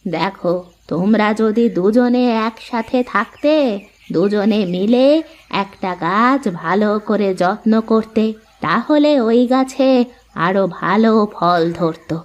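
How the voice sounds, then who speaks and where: A woman voicing an elderly, patient mother-in-law who moralizes in a measured, instructive way in a cartoon. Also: mid-range voice, highs cut off, close to an everyday voice. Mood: earnest, moralizing. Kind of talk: dubbed moral cartoon dialogue.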